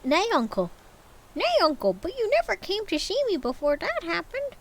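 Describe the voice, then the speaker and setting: A woman reading aloud and voicing a cheerful, earnest young man who pleads warmly with his uncle. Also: very high voice, wildly swooping pitch, normal speed, voice pushed up, heavily acted. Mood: pleading. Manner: pleading, warm-hearted.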